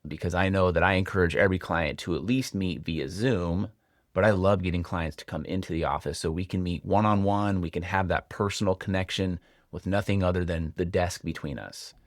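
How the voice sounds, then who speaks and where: An American man with a deep, low voice speaks as a financial advisor hosting his own show. He explains things in a measured, reflective manner, often posing questions to the viewer. The sound is dry, with very little room in it. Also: dry recording. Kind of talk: explanatory advice talk to camera.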